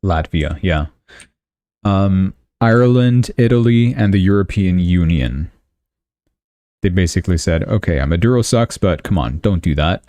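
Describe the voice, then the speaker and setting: A man's voice: low-pitched, animated, unhurried, warm tone, no room sound. A young man from Canada hosting a live stream, talking through world news casually and reading official statements aloud, with asides and self-corrections.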